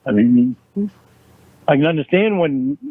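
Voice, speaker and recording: A man in a recorded phone argument, talking in a halting, defensive way and repeating words as he restarts. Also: mid-range voice, animated, dry recording. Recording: tinny phone line recording.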